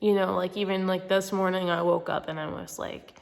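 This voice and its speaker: A woman's voice: fairly low pitch, fairly even intonation, a little fast, creaky, big-room sound. A young adult woman with a congested, stuffy-nosed voice, sick, chatting casually and in a rambling, informal way as a vlogger. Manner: informal, rambling, heavy use of "like". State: sick with a cold-like congestion and cough. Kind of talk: casual personal chat to camera.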